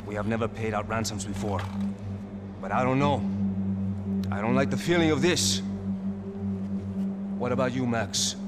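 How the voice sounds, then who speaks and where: Man acting flippant rich heir, mid-pitched. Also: normal speed, pauses often, close to an everyday voice. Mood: uneasy. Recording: loud music and vehicle sound behind.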